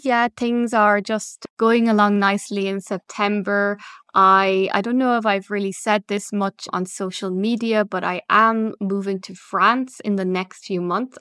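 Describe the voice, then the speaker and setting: A woman's voice: mid-range voice, fairly bright tone, clean tone, no echo, clean studio sound. A woman from Ireland, an English teacher hosting her podcast in an upbeat, encouraging, conversational way. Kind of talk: casual solo podcast chat.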